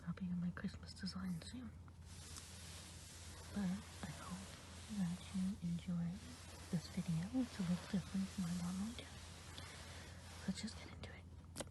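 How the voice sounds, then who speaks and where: A woman whispering softly into a close microphone as she introduces her ASMR video. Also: on the low side, steady tone, normal speed, pauses often, noisy background. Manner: soft whisper. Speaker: ASMR creator.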